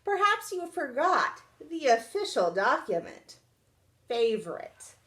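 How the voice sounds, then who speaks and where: A woman's voice: high voice, sing-song, slow, fairly bright tone, reverberant, pitched above the performer's own voice, heavily acted. A woman voicing a proud, self-important old male cat in a children's storybook, speaking formally and condescendingly. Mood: pompous. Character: proud, smug, oldest male house cat.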